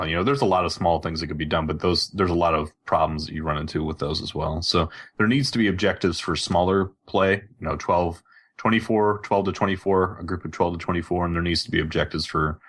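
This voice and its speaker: Low-pitched male podcast host, informal gamer conversation. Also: a bit bright. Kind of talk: casual gaming podcast chat.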